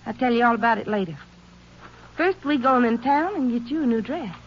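High-voiced, brassy young bad girl acted by a woman. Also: expressive, a little fast, close to an everyday voice. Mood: scheming. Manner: brash, slangy country talk. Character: hard-bitten, bossy runaway older sister turned small-time crook. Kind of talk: 1950s radio drama acting. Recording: dull old radio recording, faint noise.

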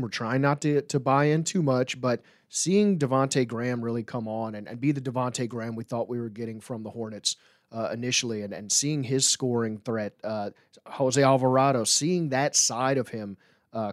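A man's voice: medium pitch, no room sound, clean studio sound. A man hosting a sports podcast with casual, conversational talk about the team.

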